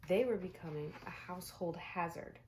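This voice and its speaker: A young woman reading a picture book aloud in a bedroom, adding gentle chatty asides for her listeners. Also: lowish voice, normal speed, noisy background. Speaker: storybook reader. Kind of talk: read-aloud storytime. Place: bedroom, indoors.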